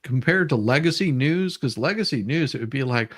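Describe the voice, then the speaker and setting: A man's voice: mid-range voice, expressive, fairly quick, dry recording, clean studio sound. A man hosting a casual livestream, chatting in an informal, rambling way. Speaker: livestream host.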